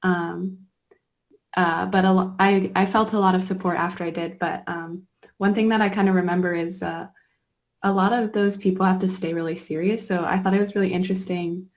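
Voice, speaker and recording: A young woman activist from Alaska speaking haltingly and earnestly, with many fillers. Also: fairly low pitch, steady tone. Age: young adult. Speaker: youth activist guest. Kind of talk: casual panel conversation.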